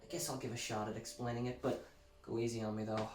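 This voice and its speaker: An actor playing a showy, self-satisfied celebrity musician, delivering a gushing, theatrical sales pitch with dramatic emphasis. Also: male voice, little pitch movement, fast, a little mellow, a little hiss or hum, close to an everyday voice. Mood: breezy salesmanship. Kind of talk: theatrical infomercial-style pitch in an audio drama. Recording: roomy echo, music underneath.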